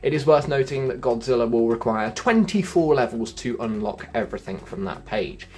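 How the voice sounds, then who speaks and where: A man's voice: medium pitch, expressive, moderate pace, a little mellow, soft background music. A man hosting a gaming channel, talking viewers through a gameplay walkthrough in a chatty way and calling them folks and guys.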